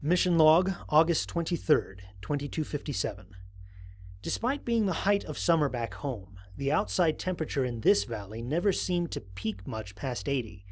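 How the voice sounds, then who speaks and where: A man playing a thoughtful, wary space explorer, narrating scripted mission logs in a measured, articulate, reflective way. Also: on the high side, big pitch swings, breathy edge. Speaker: narrator of a fan audio drama. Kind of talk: scripted first-person mission log narration.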